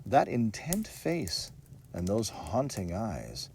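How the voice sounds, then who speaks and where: A man narrating a first-person gothic horror story in a measured, theatrical, expressive manner. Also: on the low side, vocal fry, a little hiss or hum. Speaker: audiobook narrator. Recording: music under the voice. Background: music.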